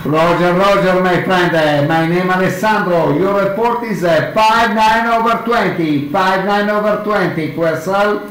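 A middle-aged man with an Italian accent speaks into a handheld radio mic, slow and deliberate, his voice sitting high. He spells call signs in the phonetic alphabet, and his room echoes around him. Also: crowd noise. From Italy. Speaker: ham radio operator. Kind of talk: radio contact calls. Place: small room at home, indoors. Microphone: handheld radio mic, headphones on.

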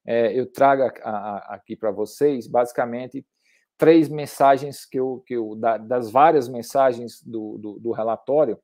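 A middle-aged Brazilian man giving an online academic lecture, haltingly, repeating words as he builds his sentences. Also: medium pitch, dark tone. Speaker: climate researcher, guest lecturer.

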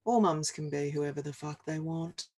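A woman in her forties with an Australian accent reads comic rhyming verse aloud in a deliberate, wry and playful manner. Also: low-pitched, steady tone, darkish tone, a little hiss or hum. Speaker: reader of a humorous picture book. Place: small home office, indoors.

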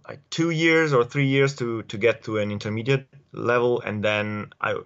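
A man in his forties with an Italian accent, answering interview questions at home in a halting way with many fillers and restarts, speaking on a video call through an earphone mic. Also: on the low side, fairly bright tone. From Italy. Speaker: guest. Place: home study with bookshelves. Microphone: earphone headset mic on video call. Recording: dull lo-fi video call audio.

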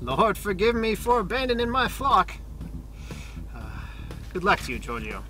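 A fretful, judgmental priest played by a man in a comedic video game. His formal, genteel speech is animated and a little high, with music underneath. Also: normal speed, heavily acted. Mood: resigned, guilty. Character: weary, judgmental runaway priest fretting over his duty. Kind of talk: campy comedic game cutscene acting.

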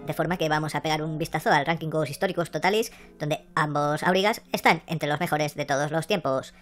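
A man voicing a hoarse, flustered female assistant, who rambles and then reads out rankings. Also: on the high side, a little fast, fairly bright tone, soft background music, voice pushed up, close to an everyday voice. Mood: reporting. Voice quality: hoarse, croaky.

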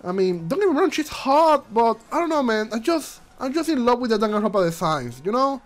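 Stuffy-nosed man rambling with lively pitch. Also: fairly quick, soft background music. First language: not English. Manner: rambling, repeats himself, frequent restarts. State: stuffy, congested from allergies. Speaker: channel host. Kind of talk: casual commentary vlog.

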